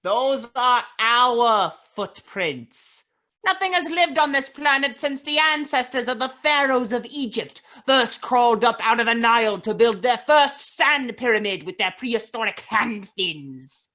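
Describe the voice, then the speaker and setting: Grandiose mad scientist villain, wildly swooping delivery. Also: female voice, a little high, expressive, unhurried, pushed and bright. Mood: scornful. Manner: grandiose, melodramatic, scheming. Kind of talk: campy radio play sci-fi serial acting.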